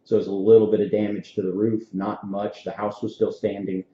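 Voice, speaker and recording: A man from Florida in his forties hosts a podcast in an easygoing, chatty way, full of little fillers. His voice sits low, recorded with a dull, lo-fi tone in a very echoey room. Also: flat delivery, brisk, warm tone, a little hiss or hum. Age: 40s. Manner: friendly, storytelling, frequent fillers. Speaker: podcast host. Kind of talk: casual interview chat.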